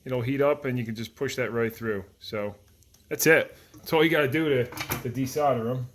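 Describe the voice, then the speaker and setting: A man's voice: mid-range voice, normal speed, a little hiss or hum. A man giving a casual, plainspoken how-to explanation as the host of a hands-on repair tutorial. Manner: plainspoken, informal.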